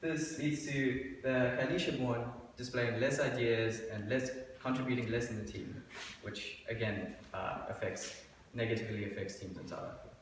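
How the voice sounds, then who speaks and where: A man's voice: mid-range voice, fairly even intonation, normal speed, slight background noise. A young man giving a class presentation in a dim lecture room, talking with hesitant fillers and restarts. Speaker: student presenter. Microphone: far room mic. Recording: echoey room from far off.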